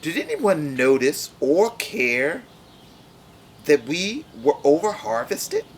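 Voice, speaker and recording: Woman acting a dramatic, indignant berry, pitch leaping. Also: sing-song, slow pace, slight background noise, full character voice. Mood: urgent, aggrieved. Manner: indignant, pleading. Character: aggrieved, dramatic berry demanding action at farm meeting.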